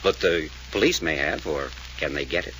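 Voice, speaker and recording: An actor playing a commanding, practical amateur detective in an old-time radio mystery serial, clipped, businesslike and insistent. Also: male voice, a bass voice, moderate pace, fairly bright tone, a little hiss or hum, rough recording. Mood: pressing, firm.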